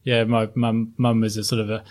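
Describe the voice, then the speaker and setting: A middle-aged man from Sheffield with a softened, well-spoken Northern English accent, answering interview questions with many ums and restarts. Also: lowish voice, little pitch movement, brisk. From Sheffield, England. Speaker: guest, former chartered accountant.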